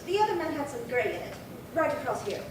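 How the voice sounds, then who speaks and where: Woman playing fussy fast-talking matron, echoey room. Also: very animated. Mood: indignant. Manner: prim, folksy, insistent. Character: fussy, chatty, indignant female burglary victim, middle-aged. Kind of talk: 1950s radio crime drama acting. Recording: echoey room, distant mic, noisy rumble.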